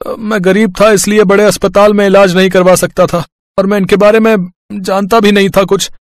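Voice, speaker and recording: A man's voice: high-pitched, level tone, brisk, no echo, voice pushed up, natural voice. A man voicing a kindly, poor village labourer in a cartoon story, with a rustic, deferential manner. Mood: earnest.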